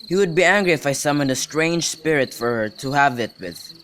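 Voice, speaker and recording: A man's voice: on the high side, animated, brisk, a bit bright. A young man playing a bawdy, mock-grand friend in an amateur Shakespeare radio drama, with theatrical, teasing delivery. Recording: noisy home recording with clicks.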